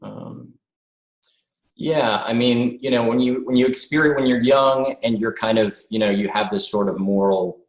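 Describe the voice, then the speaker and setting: A man's voice: medium pitch, moderate pace, reverberant, poor audio. A man speaking as an interview guest over a video call, thoughtful and hesitant, with many filler words. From born in the United States, raised abroad. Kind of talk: reflective conversational interview.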